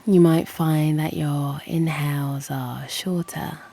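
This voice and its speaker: Very slow, hushed woman guiding meditation. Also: deep voice, steady tone, slight background noise. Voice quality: soft and breathy, often near a whisper. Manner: soothing, hushed, gently encouraging. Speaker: yoga nidra guide and channel host. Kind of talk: guided meditation. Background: soft music.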